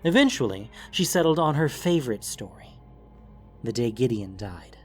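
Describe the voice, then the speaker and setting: A man narrating a scripted fantasy story in a solemn, dramatic way with deliberate phrasing. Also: mid-range voice, very animated. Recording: music bed under the voice. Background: background music.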